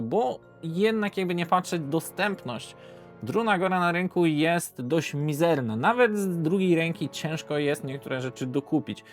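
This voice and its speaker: Higher-voiced Polish man reviewing board games. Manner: hesitant, rambling, with self-corrections. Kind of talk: casual unscripted video review.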